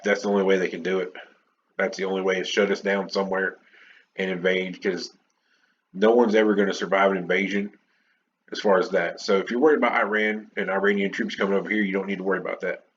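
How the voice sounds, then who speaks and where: A very low-voiced American man delivers an off-the-cuff opinion monologue as a podcast host. His talk is casual and wandering. The audio is dull and lo-fi, with some faint noise behind him. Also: level tone, brisk, long pauses. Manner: rambling, conversational.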